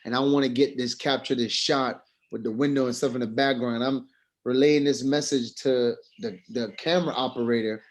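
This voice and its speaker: Guy chatting in a student group meeting, slangy, asking stuff, mid pitch voice. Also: fairly even intonation, normal speed. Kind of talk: casual group discussion.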